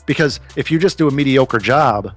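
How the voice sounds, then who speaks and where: Man, thirties, podcast guest, earnest and animated, casual swearing, faint background music. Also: mid-range voice, moderate pace, dry recording. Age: 30s.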